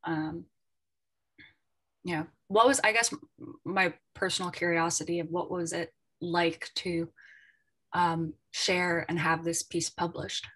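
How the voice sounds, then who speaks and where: Low-pitched, tentative woman moderating a panel. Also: fairly even intonation, slow pace, pauses often, a bit bright. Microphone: video call.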